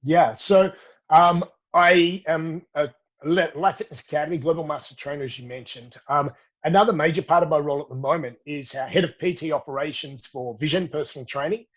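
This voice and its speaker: A man's voice: on the high side, brisk, lo-fi sound. A middle-aged Australian man with an Australian accent, a fitness educator answering interview questions in an upbeat, friendly way. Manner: upbeat, calls the host mate. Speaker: podcast guest, fitness educator.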